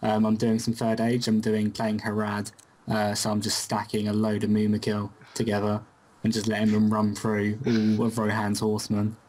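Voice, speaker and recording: A man's voice: fairly low pitch, monotone, moderate pace, a little mellow, poor audio. A man on a casual gaming podcast, speaking in a dry, understated way. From England. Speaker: podcast guest. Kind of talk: casual group chat.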